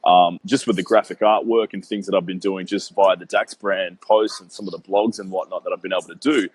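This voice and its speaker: A man's voice: low-pitched, steady tone, fairly quick. A man in his mid-thirties, a guest talking earnestly about his work in a casual interview, with a rambling style full of "um" and "you know". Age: 30s. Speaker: guest, business owner.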